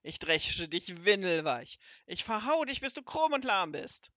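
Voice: medium pitch, very animated, a little slow, bright, forceful tone, no echo. A narrator voicing a furious, greedy old sorcerer who bellows and rants. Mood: raging. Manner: bellowing, ranting.

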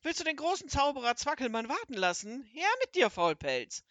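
A furious old sorcerer, as a storyteller puts him on yells threats in a high, jumping voice. He rants and bellows at his missing servant. The sound is close and dry. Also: very animated, a little fast, dry recording. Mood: raging. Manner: bellowing, ranting.

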